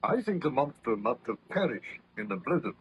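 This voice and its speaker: An actor playing a courteous, reassuring ship's captain in old radio drama, polite, formal and measured. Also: male voice, mid-range voice, very animated, natural voice. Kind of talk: 1930s radio drama acting. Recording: muffled, noisy old radio recording.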